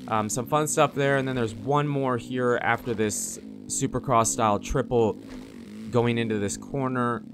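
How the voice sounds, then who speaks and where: Male gaming host, mid-range pitch, casual commentary style, clear game engine noise behind the voice.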